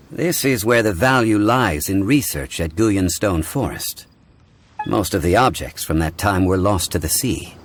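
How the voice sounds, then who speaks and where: An actor voicing a calm, learned gentleman in a video game, speaking in a measured, formal, explanatory way. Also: deep voice, slight background noise. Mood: composed, polite.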